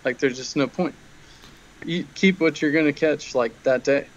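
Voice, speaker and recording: A man's voice: a little high, normal speed, lo-fi sound. A young man, co-hosting a casual podcast over a video call, talking in an easygoing, hedging way.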